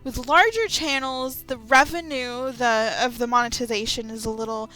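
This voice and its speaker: A woman's voice: a little high, normal speed, sharp tone, poor audio. A woman giving casual, rambling opinion commentary as a YouTuber, with sarcastic asides. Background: light background music.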